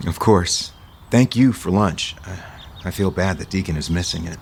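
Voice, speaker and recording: An actor playing a gentle, earnest, considerate man in an audio soap opera. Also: sits low, moderate pace, creaky, slight background noise. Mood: gentle, gracious.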